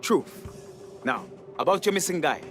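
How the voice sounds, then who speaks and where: An actor playing a weary, guarded insider who helps detainees, in naturalistic video game style. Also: male voice, high voice, expressive, normal speed, a bit bright, slight background noise. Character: weary, wary male insider helping detainees at a camp.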